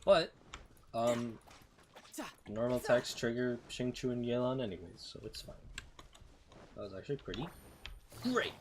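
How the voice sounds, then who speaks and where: A man's voice: medium pitch, expressive, unhurried, long pauses. A man doing casual gameplay commentary as a streamer, muttering to himself while he plays. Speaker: game streamer. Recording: noisy mic with keyboard clicks. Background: typing, mouse clicks, background noise.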